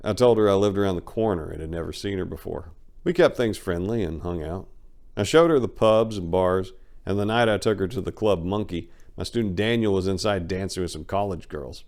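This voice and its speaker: A man with a fairly low voice performs a first-person memoir as a dramatic reading. He tells it expressively, like a storyteller, leaning into certain phrases with emphasis. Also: a little fast, darkish tone. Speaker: audiobook narrator.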